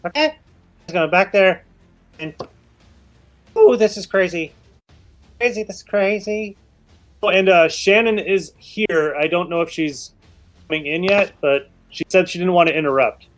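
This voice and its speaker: A woman's voice: on the low side, a little slow, pauses often, faint music behind. A woman playing in a tabletop game, chatting casually and teasing. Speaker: player.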